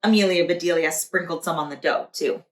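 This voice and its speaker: A woman's voice: lowish voice, brisk. A woman, a preschool teacher, reading a children's storybook aloud. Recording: echoey room.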